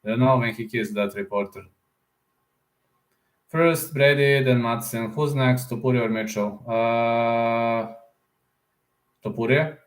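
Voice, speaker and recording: A man's voice: mid-range voice, slow pace, long pauses. Rambling man on a live stream, roomy echo. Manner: rambling, answers viewer comments aloud; repeats words, often ends with "man". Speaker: combat sports YouTuber. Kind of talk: casual live stream chat.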